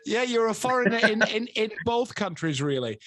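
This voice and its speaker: A man with a British accent, a radio presenter interviewing a guest, quick with jokes and friendly banter. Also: high voice, big pitch swings, fairly bright tone, no room sound. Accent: British English. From England. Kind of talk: casual interview chat.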